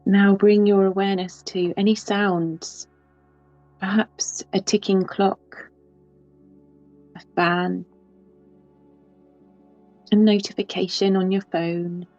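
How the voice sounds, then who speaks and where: A woman guides a deep-rest yoga nidra in a slow, hushed, mellow voice. She lets long pauses settle between phrases. Faint music plays under a slightly dull, muffled recording. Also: steady tone. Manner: soft, unhurried, with long pauses.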